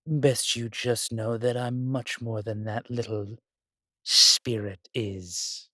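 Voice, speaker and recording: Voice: medium pitch, fairly even intonation, takes it slowly, sharp tone, dry recording, studio quality. An actor playing an ancient, powerful spirit, sardonic, proud and condescending, in an audio roleplay drama. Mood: haughty, menacing.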